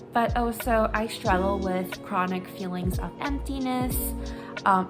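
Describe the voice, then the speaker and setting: A woman from Singapore in her twenties, candidly sharing her personal story in a hesitant, um-filled vlog, reading from talking points on her phone. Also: medium pitch. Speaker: vlogger, psychology grad student.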